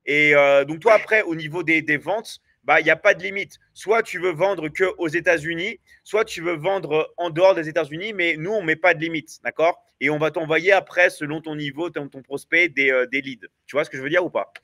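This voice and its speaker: A man's voice: on the high side, a little fast, few pauses, bright, forceful tone, dry recording, studio quality. A man hosting a live online sales stream, talking eagerly with lots of fillers and repeats. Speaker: live show host. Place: at home in South America.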